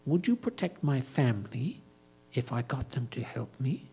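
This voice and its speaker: A man voicing a tiny mouse in a story, soft and small, cautious and self-possessed as it bargains. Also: mid-range voice, sing-song, moderate pace, breathy edge, full character voice. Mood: cautious, bargaining.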